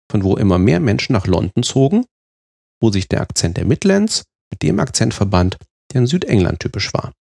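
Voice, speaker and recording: Male presenter from Germany, gravelly low voice, husky edge, measured scripted delivery. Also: a little mellow, no room sound, clean studio sound. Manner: measured, explaining step by step. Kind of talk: scripted explanatory narration.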